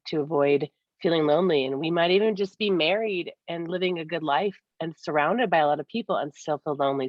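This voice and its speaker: Female podcast co-host, deep-voiced and mildly congested, conversational, on a dull, roomy recording. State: slightly congested from a cold-like illness. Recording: dull, roomy, echoey sound.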